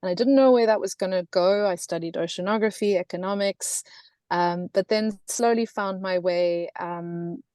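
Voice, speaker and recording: A woman's voice: mid-range voice, normal speed, dark tone, smooth, dry recording, studio quality. A South African woman in her thirties presenting in a webinar, talking with many fillers and restarts. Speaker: webinar guest speaker.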